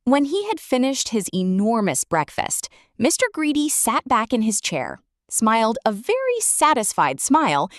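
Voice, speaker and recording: A woman reading a children's story aloud as narrator, expressively and with deliberate emphasis on descriptive words. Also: on the high side, wildly swooping pitch, no echo, studio quality.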